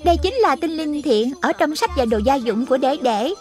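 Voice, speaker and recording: A small, wise spirit companion from a children's cartoon. The voice is very high. The delivery is instructive and admonishing, like an older sibling, with light music underneath. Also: female voice, lively intonation, moderate pace, a little mellow. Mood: explaining. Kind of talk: Vietnamese dubbed children's cartoon acting.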